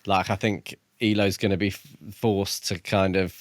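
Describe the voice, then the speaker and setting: Low-voiced man co-hosting a book podcast. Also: level tone, moderate pace, no echo, studio quality. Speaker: podcast co-host. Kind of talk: casual book discussion podcast.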